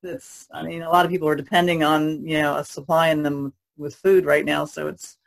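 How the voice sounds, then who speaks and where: A woman's voice: low voice, little pitch movement, normal speed, gravelly. A woman guest chatting casually on a podcast, hesitant and often trailing off. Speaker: artist guest.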